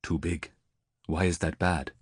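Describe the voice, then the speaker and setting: A man's voice: extremely low pitch, steady tone, measured pace, husky, no room sound, clean studio sound, full character voice. A man voicing a wary young runaway. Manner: polite, cautious. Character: young male former nobleman turned miner, wary.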